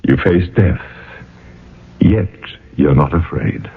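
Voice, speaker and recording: A man's voice: a bass voice, lively intonation, takes it slowly, gravelly, full character voice. An actor playing a vengeful, anguished ghost of a nobleman in a 1980s radio drama, theatrical and archaic in his speech. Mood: grim, wavering. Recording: dull old radio recording, cavernous reverb, hiss.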